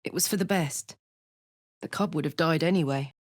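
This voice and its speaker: A woman's voice: sits low, normal speed, no room sound, clean studio sound. A woman voicing a brash, big-hearted fiery tiefling warrior in a video game, blunt, slangy and swaggering. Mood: somber. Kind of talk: video game character voice lines.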